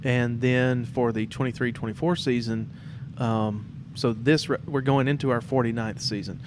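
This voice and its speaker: Man, medium pitch, podcast host, informal chat, faint room noise. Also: fairly even intonation, moderate pace. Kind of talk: casual, informal interview chat. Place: temporary studio in a theatre. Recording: background noise and room ambience.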